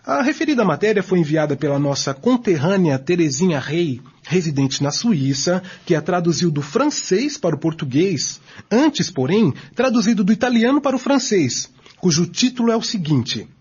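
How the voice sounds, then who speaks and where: Didactic spiritist acted by a man, Brazilian accent, formal emphatic delivery, highish voice, dull lo-fi radio drama. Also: very animated, moderate pace, a little mellow, husky, heavily acted. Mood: informative. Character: assured, didactic male spiritist group member. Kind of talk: amateur radio drama acting.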